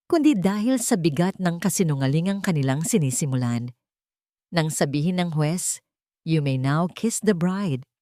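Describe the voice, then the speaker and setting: A woman's voice: fairly low pitch, warm tone, no echo. A woman with a Filipino accent giving dramatic scripted narration of a romance story.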